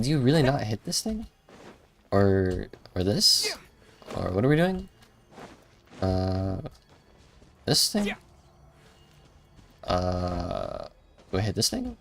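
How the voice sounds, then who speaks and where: A man's voice: on the low side, very animated, takes it slowly, gravelly, long pauses. A man live streaming a video game with casual, slangy commentary, often muttering and sounding unsure of himself. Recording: music behind the voice. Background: game music.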